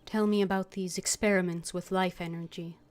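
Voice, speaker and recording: Low-key woman streaming over game music. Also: steady tone, a little fast, darkish tone. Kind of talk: casual live game commentary, reading dialogue aloud. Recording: music behind the voice.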